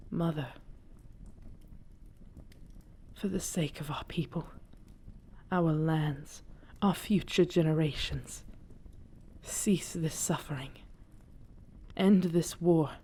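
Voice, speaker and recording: A woman's voice: deep voice, takes it slowly, long pauses, mellow, breathy edge, full character voice. A woman playing an earnest, devoted dragon princess and commander in an audio drama, speaking in solemn, formal phrases. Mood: pleading. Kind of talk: scripted audio roleplay drama.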